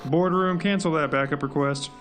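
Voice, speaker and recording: A man playing a gruff, by-the-book agent in an audio drama, curt, dry and exasperated. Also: fairly high pitch, normal speed, a bit bright, soft background music, close to an everyday voice. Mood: stern, official. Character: gruff, weary, by-the-book male agent in charge.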